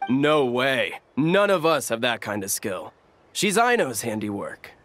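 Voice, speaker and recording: A man's voice: medium pitch, very animated, unhurried, music in the background. An actor playing a frank, friendly male merchant in a video game.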